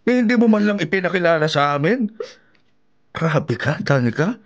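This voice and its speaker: A man's voice: fairly high pitch, big pitch swings, moderate pace, dry recording, lo-fi sound. An actor playing a stern, demanding father of grown children in a radio drama. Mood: stern, outraged.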